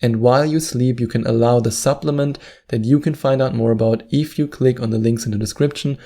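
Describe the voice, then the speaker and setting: German man with a mellow voice. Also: medium pitch, steady tone, brisk, creaky. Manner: earnest, emphatic, repeats key points. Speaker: weight-loss video host. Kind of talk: direct-to-camera advice talk.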